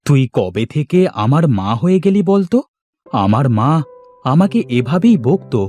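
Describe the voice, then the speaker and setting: A man acting a plaintive poor villager, swooping pitch. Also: very animated, moderate pace, mellow, dry recording, faint music behind. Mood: fond. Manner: lamenting, pleading. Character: poor, weary, plaintive village basket weaver and father. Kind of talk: Bengali fairy-tale cartoon voice acting.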